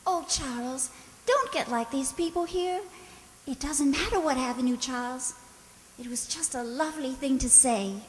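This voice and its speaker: A woman's voice: high-pitched, moderate pace, a little hiss or hum, close to an everyday voice. A woman acting a dreamy, lovestruck young woman in a radio drama, sweet, earnest and dramatic, yearning to escape. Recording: long hall reverb.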